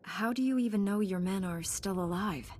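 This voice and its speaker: A woman's voice: mid-range voice, normal speed, a little mellow, slight background noise, lightly acted. An actress voicing a composed, earnest young female Jedi knight in scripted video game dialogue. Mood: reassuring, earnest. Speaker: game character.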